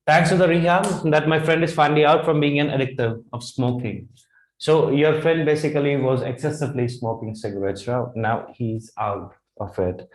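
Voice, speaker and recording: Indian English teacher in an echoey classroom. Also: male voice, mid-range voice, steady tone. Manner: repeats phrases slowly, checks in with "right?". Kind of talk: whiteboard vocabulary lesson. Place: classroom in front of a whiteboard. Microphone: lapel mic.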